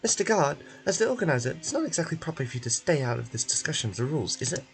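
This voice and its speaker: A man's voice: fairly high pitch, sing-song, rapid-fire, creaky, faint music behind. A man voicing a pedantic, indignant contestant who is obsessed with rules in a video game. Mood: huffy. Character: huffy, argumentative rule-obsessed prison game contestant.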